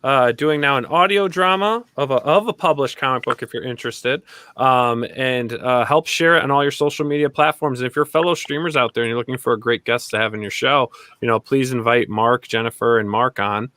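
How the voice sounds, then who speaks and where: Male show host. Bright, punchy voice, close dry sound, informal and full of appreciation for guests. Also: medium pitch, brisk, no echo. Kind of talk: casual interview hosting.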